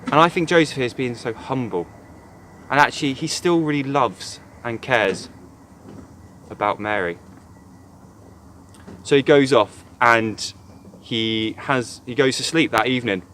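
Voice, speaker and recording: A man's voice: medium pitch, a little slow, pauses often, a bit bright, slight background noise. A man, a church youth worker, gives an earnest, reflective devotional talk retelling a Bible story.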